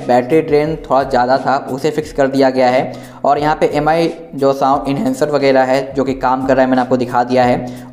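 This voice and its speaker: A man's voice: medium pitch, darkish tone. An Indian-accented man hosting an informal tech walkthrough, explaining things directly to viewers. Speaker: tech reviewer, host. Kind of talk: informal smartphone software walkthrough. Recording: echoey, roomy sound.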